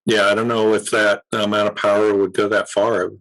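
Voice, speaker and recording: A man speaking as an interview guest, explaining radio in a conversational, measured way and repeating phrases for emphasis. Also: lowish voice, flat delivery, fairly bright tone, low-quality recording.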